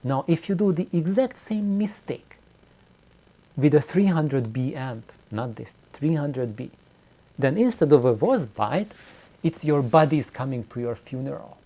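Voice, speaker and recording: A middle-aged man giving an unscripted, reflective explanation to viewers, haltingly and with words repeated for emphasis. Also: fairly high pitch, lively intonation, mellow. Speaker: audio hobbyist video host.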